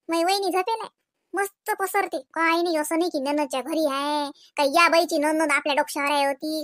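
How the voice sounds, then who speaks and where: A woman's voice: very high-pitched, pushed and bright, no room sound, clean studio sound. A woman playing a gossipy, complaining cartoon village housewife who chatters colloquially in a Varhadi Marathi accent. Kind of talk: comic cartoon village dialogue.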